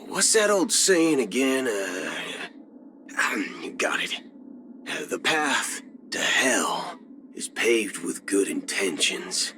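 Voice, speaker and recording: A man's voice: mid-range voice, sing-song, slow, sharp tone, a little hiss or hum, full character voice. A man playing a cocky cyborg cowboy outlaw in a video game, with a swaggering, folksy drawl. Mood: musing, wry. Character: cocky cyborg cowboy gunslinger outlaw, a male galaxy ranger. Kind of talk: video game character voice acting. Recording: music behind the voice. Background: music.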